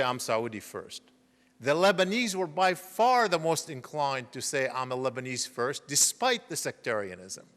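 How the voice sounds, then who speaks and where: A middle-aged man giving a public lecture, explaining at a measured pace with frequent fillers and restarts. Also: mid-range voice, fairly bright tone. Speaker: guest lecturer. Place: lecture hall. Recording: reverberant lecture hall.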